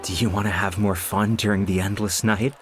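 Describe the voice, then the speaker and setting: A man voicing a shady, pushy drug dealer in a video game, slick and coaxing but quick to get defensive. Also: lowish voice, level tone, fairly bright tone, soft background music, lightly acted.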